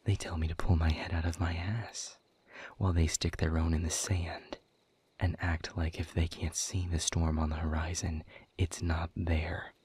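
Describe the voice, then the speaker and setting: A man playing a hopeless, exiled demon in an audio roleplay, weary, bitter and sardonic, with a husky, breathy voice. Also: low-pitched, little pitch movement, darkish tone, a little hiss or hum, lightly acted. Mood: bitter, fatalistic. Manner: slow, grave, resigned, sardonic. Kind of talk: intimate audio roleplay acting.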